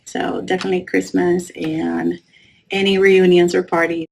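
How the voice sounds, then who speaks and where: Animated woman teacher, native Spanish speaker. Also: lowish voice, normal speed, creaky, slight background noise, low-quality recording. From Cuba. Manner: animated, laughing, lists things eagerly. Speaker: teacher being interviewed. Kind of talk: casual interview answers.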